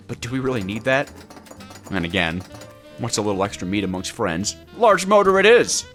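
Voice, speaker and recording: Casting: male game-video storyteller, mid-pitched and highly animated, theatrical mock-grandeur, faint music bed. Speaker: gaming video narrator. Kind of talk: scripted story-driven game narration.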